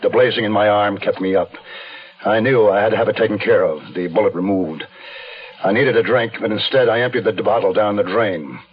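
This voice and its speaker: An actor playing a desperate, wounded gambler on the run, narrating tersely and broodingly in a 1970s radio noir drama. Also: male voice, lowish voice, fairly quick, close to an everyday voice. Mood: fearful, grim. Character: desperate hunted male gambler, wounded, narrating his downfall. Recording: dull 1970s radio recording, music underneath.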